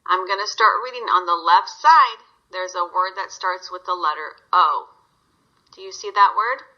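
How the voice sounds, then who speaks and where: A woman's voice: fairly low pitch, moderate pace, pushed and bright, muffled recording. A woman reading a story aloud to young children, guiding them with simple questions.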